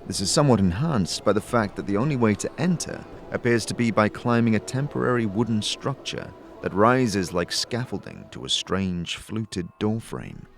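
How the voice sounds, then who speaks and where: A man's voice: on the low side, animated, normal speed, hardly any pauses. A man reading dramatic, second-person scripted narration for an audio story.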